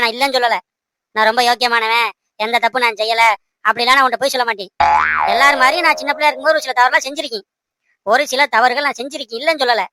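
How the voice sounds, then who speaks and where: A woman's voice: on the high side, bright, forceful tone, no room sound, poor audio. A woman voicing an earnest, protective, hot-headed young fiancé in a cartoon, emphatic and insistent. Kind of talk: amateur cartoon dialogue in colloquial speech.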